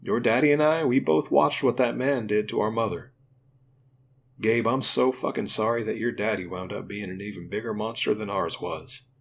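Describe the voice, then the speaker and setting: Man acting a gruff, tender uncle, creaky voice. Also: fairly low pitch, fairly even intonation, normal speed, a bit bright, a little hiss or hum. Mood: earnest, emotional. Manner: earnest, plainspoken, folksy. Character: gruff but tender uncle consoling his young nephew.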